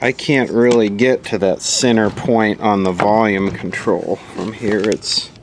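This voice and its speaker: A man's voice: fairly low pitch, steady tone, a little slow, background noise. A man giving casual troubleshooting commentary as he repairs electronics, musing aloud and asking himself questions. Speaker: electronics repair hobbyist presenter.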